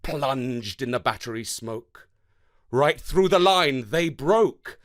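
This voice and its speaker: A man narrating scripted history and verse for children in a measured, dramatic way. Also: medium pitch. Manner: measured, expressive, dramatic delivery. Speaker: storyteller narrator.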